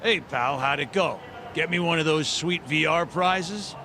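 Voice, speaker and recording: An actor playing a scrappy older homeless man in a video game, gravelly, folksy, chummy and slangy. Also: fairly high pitch, measured pace. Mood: chummy, eager. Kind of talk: video game cutscene dialogue. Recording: noisy city street with traffic. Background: urban traffic noise.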